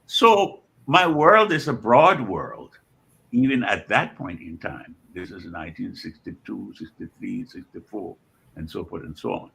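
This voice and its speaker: An older man in his seventies or beyond with a Liberian accent, speaking reflectively as an interview guest in measured, deliberate phrases with pauses and repetitions. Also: on the low side, sing-song, gravelly, sharp tone, breathy edge. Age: 70s or older. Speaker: guest author and scholar.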